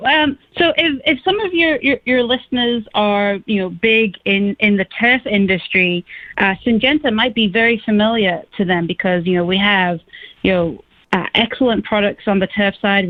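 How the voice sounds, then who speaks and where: Woman with a faint Liverpool accent, on the phone. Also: medium pitch. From England, Liverpool. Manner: halting, many fillers and restarts. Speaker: guest, pest management scientist. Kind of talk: radio interview. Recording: tinny phone line.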